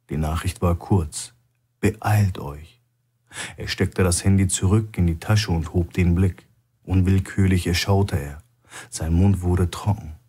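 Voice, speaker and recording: A man's voice: low-pitched, dark tone, slightly rough. A man narrating a thriller audiobook from a script.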